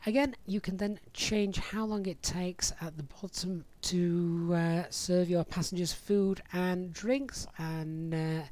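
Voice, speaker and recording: A man's voice: fairly high pitch, darkish tone. A man narrating a casual tutorial in a conversational, explanatory way, with slightly hesitant phrasing. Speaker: flight simulator streamer giving a tutorial. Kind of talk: casual software tutorial voice-over.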